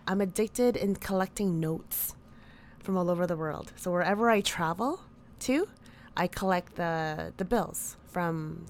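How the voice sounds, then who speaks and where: Brief: woman in her thirties from the Philippines, lower pitch, chatty, laughs a lot, faint noise behind. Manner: chatty, laughs often, with restarts. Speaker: podcast guest. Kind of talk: casual interview answers.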